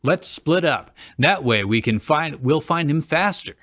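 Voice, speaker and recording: A man's voice: a little high, animated, a little slow, a bit bright, no room sound, heavily acted. A man plays a practical young adventurer directing companions in a storybook fan fiction. He is sensible and plain, recorded close and dry. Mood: brisk, practical. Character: practical young man organising a search party.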